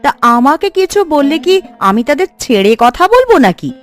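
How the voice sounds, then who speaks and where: A woman's voice: high-pitched, very animated, a little fast. A woman voicing a quarrelsome, outspoken village housewife in a folk-tale cartoon, scolding and argumentative. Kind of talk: animated cartoon voice acting. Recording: music under the voice.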